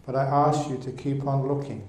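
A male monk teaching in calm, measured phrases with pauses between them. His voice is mellow and dark, and the room adds a clear echo. There is a faint hum of ambience behind him. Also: mid-range voice. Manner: measured and unhurried, pausing in short phrases. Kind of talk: dharma talk with an interpreter. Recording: roomy echo.

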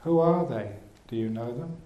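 A man giving a Buddhist teaching as a monk, speaking in short, unhurried phrases with pauses between them. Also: mid-range voice, highs cut off. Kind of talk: dharma talk with an interpreter. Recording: roomy echo.

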